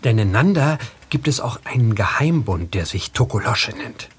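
A man's voice: on the low side, expressive, normal speed, husky. An actor playing an earnest young African man in a German detective radio play, speaking in precise, formal wording. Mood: serious, explaining.

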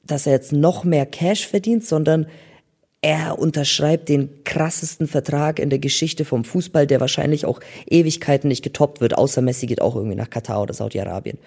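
Young guy talking football casually, soft mellow tone, lots of slang and english words thrown in. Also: on the high side, brisk, vocal fry, breathy edge. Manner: slangy, colloquial youth speech with English loanwords. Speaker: football talk co-host. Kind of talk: casual chat about football.

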